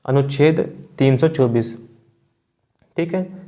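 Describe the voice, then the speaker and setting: A man's voice: medium pitch, slow pace, warm tone. A man teaching exam prep, reading out quiz questions and confirming answers in an instructional way with frequent check-in tags.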